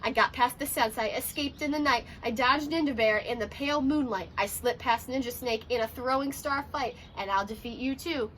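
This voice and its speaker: Storybook cookie ninja, read by a woman: cocky, taunting, sing-song, high and bright. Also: moderate pace, hardly any pauses, slight background noise, natural voice, poor audio. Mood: boastful, taunting. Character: boastful, teasing little gingerbread ninja cookie man. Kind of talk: children's storybook read-aloud.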